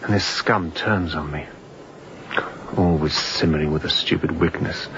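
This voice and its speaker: A fugitive chief mate in a radio drama, bitter and unrepentant. The voice is very low and worn out, delivered in clipped, intense sentences. It sounds slightly dull, with some noise behind it. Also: male voice, a little slow. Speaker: actor in audio drama. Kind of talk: radio drama acting, confessional storytelling.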